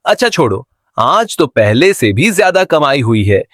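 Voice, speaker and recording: Cartoon casting: actor as put-upon mutton seller, adult male, medium register, very lively intonation, emphatic and complaining. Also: mid-range voice, measured pace, dry recording, clean studio sound. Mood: exasperated. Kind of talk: Hindi cartoon voice acting.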